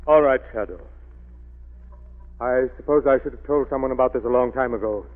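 A man's voice: medium pitch, brisk, natural voice. Tormented middle-aged father played by a man, tinny radio. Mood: anguished confession. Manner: anguished, melodramatic. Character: tormented wealthy father guarding a dark family secret. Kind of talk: 1940s radio acting. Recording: thin phone-like vintage radio recording.

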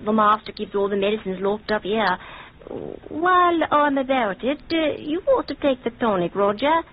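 An actress in an old-time radio drama playing a sly, scheming household nurse with an insistent, theatrical delivery, on a tinny, noisy recording. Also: female voice, fairly high pitch, expressive, normal speed. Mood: coaxing.

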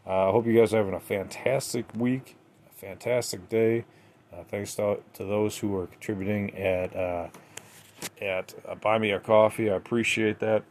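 A man hosting a solo podcast, talking casually and haltingly, speaking straight to his listeners. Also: on the low side, steady tone, a little mellow, slight background noise. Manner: conversational, halting, addresses listeners directly. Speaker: podcast host.

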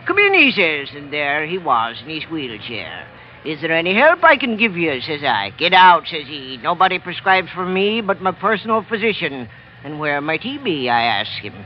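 High-voiced, talkative doctor. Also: male voice, big pitch swings, moderate pace. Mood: intrigued. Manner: lilting, theatrical storytelling with archaic turns of phrase. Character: talkative, sly old-fashioned Celtic ship passenger, amateur doctor. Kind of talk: old-time radio drama acting. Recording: muffled vintage radio recording, faint noise.

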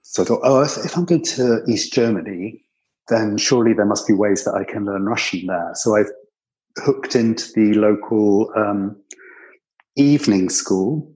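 Low-voiced older British man reminiscing. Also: normal speed, a little mellow, poor audio. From Britain. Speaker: interview guest recalling his years living abroad. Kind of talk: conversational personal reminiscence.

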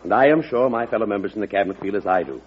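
An actor playing an indignant, hawkish cabinet secretary in old-time radio drama, formal and declamatory. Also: male voice, on the low side, rapid-fire, a little hiss or hum, close to an everyday voice. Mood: urgent, insistent. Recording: dull vintage radio recording.

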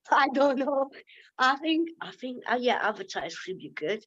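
A woman's voice: high-pitched, very animated, unhurried, a bit bright, muffled recording. A woman from Lithuania with a Lithuanian accent, talking bluntly in a casual interview, swearing and laughing often. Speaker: interview guest.

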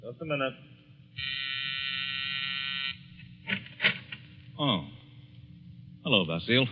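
An actor playing a brusque, skeptical newspaper editor in a 1940s radio thriller, dismissive and scornful. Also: male voice, medium pitch, sing-song, moderate pace, a little hiss or hum, lightly acted. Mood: gruff, skeptical. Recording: muffled, lo-fi 1940s radio broadcast.

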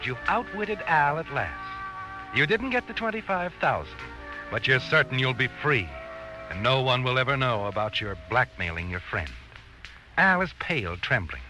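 An actor playing a sinister, mocking narrator who insinuates and gloats in a 1940s suspense radio drama, recorded from an old broadcast. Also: male voice, mid-range voice, sing-song, moderate pace, natural voice, poor audio. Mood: gloating, taunting. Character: sinister, taunting male narrator gloating over the guilty. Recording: vintage radio broadcast with music. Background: music.

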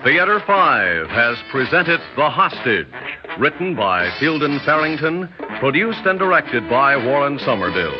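A man announcing formal, measured scripted credits in a 1960s radio style. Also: medium pitch, sing-song. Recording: dull old radio broadcast with music.

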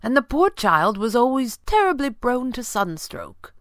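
A woman's voice: medium pitch, lively intonation, moderate pace, dry recording, clean studio sound, voice pushed up, close to an everyday voice. A woman voicing an older male butler, deferential, formal and fussily polite in an audiobook story. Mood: deferential, gently concerned.

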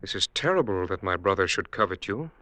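An actor playing a hot-tempered, easily swayed husband in a classic radio drama, speaking in terse, emotional phrases. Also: male voice, sits low, expressive, brisk, pushed and bright, dry recording. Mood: brooding, suspicious.

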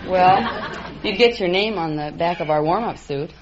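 A woman's voice: fairly low pitch, moderate pace. A woman answering interview questions as a contestant in a straightforward, explanatory way. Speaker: quiz contestant, volleyball player. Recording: dull old radio broadcast, faint noise.

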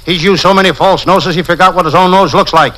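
An actor playing a wisecracking parody private detective, narrating gags deadpan in old-time radio comedy style. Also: male voice, high-pitched, quick pace. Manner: deadpan gag-telling narration. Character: wisecracking hard-boiled parody private detective, adult man. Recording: noisy, crackling vintage radio recording.

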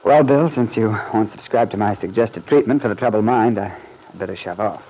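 Urbane, low-voiced bachelor of radio drama. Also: male voice, moderate pace, warm tone, slightly rough, low-quality recording. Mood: wry, sympathetic. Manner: urbane, wry, wordy. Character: urbane bachelor man about town, wry, sociable friend. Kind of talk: old-time radio drama acting.

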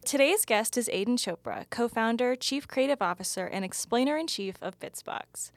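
A woman's voice: fairly high pitch, animated, moderate pace, no room sound. A woman hosting a startup podcast, reading the intro and interviewing a guest in an upbeat, easy manner. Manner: upbeat, laughs easily. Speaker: podcast host.

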